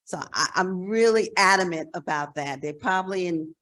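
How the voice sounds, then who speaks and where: A woman's voice: on the low side, moderate pace, fairly bright tone. An American woman in her sixties giving a conference presentation in a frank, emphatic, conversational way. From New Jersey, United States. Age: 60s. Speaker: presenter, nonprofit executive director.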